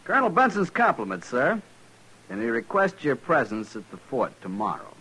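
An actor playing a proud, formal and curt young army lieutenant in a 1950s radio western. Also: male voice, mid-range voice, huge pitch swings, moderate pace, bright, forceful tone, husky, full character voice, poor audio. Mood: stiff, resentful. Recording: old radio recording with background noise.

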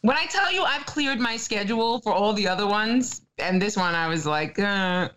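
A woman's voice: medium pitch, lively intonation, normal speed, fairly bright tone, rough recording. A woman with a Staten Island New York accent, co-hosting a casual podcast and laughing often. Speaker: podcast co-host. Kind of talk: casual chat.

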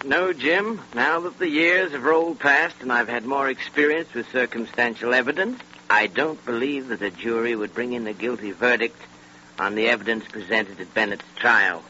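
An actor playing a seasoned British detective inspector, thoughtful and assured, in 1930s radio style. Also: male voice, mid-range voice, moderate pace, pushed and bright. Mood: reflective, assured. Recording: noisy, muffled 1930s radio recording.

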